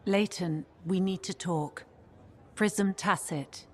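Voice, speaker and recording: A woman's voice: lowish voice, slow, darkish tone, a little hiss or hum. An actress playing a direct, devoted woman in a video game cutscene. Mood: earnest.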